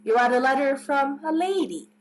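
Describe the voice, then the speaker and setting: A woman's voice: high-pitched, lively intonation, moderate pace, slight background noise, rough recording. A woman playing a plain-spoken rustic village postman in a radio play, talking in folksy dialect with emphatic tags. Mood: folksy, apologetic.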